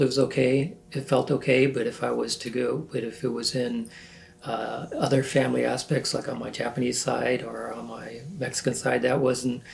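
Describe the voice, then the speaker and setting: A man in his sixties from northern California, recalling his life in a halting, self-correcting way as an oral history interviewee. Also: mid-range voice, gravelly, a little hiss or hum. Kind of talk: reflective recollection.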